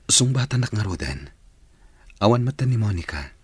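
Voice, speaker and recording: A man's voice: lowish voice, sing-song, normal speed, husky, no room sound. A man with an Ilocano accent playing a devoted, generous suitor in a radio drama, speaking tenderly and earnestly.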